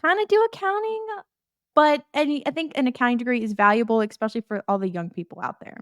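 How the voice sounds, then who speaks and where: A woman's voice: fairly high pitch, quick pace, no room sound, clean studio sound. A woman from Baton Rouge, Louisiana, with a Southern US accent, co-hosting a podcast in friendly, light-hearted chat. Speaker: podcast co-host. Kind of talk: casual chat.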